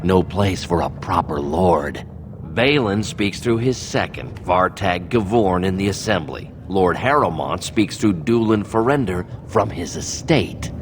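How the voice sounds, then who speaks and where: A man voicing a stern, short-tempered dwarven guard captain in a fantasy video game, with a gravelly, curt and commanding delivery. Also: low voice, animated, unhurried, noisy background. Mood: gruff, dismissive. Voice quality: gravelly tough-guy tone.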